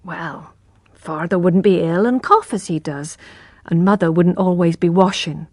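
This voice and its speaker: A woman voicing a gentle, worried young country girl who answers softly. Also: on the low side, measured pace, no room sound. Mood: subdued. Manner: soft, subdued replies.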